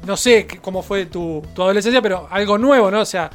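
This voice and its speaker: A man's voice: high-pitched, fairly quick, faint music behind. A man with an Argentine accent interviewing in a casual chat, wordy and rephrasing his questions as he goes. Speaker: radio interviewer.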